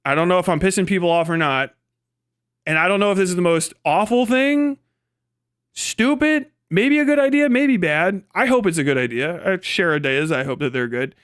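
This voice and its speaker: A man talks to his livestream in a fairly high, very clear voice. He thinks out loud in a casual, animated ramble and curses freely. The sound is close and dry, with little room in it. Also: normal speed, dry recording. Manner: rambling, animated, frequently swearing. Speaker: livestream host.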